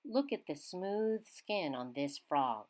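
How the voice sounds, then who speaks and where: A woman reads a children's science book aloud at an unhurried pace. Her slightly low voice rises and falls in lively swoops. She is teacherly, giving key words clear emphasis. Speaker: children's read-aloud reader.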